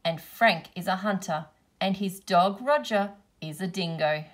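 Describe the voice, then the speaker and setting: Slow-paced woman reading a picture book. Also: lowish voice. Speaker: storybook reader, teacher. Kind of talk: children's picture book read-aloud.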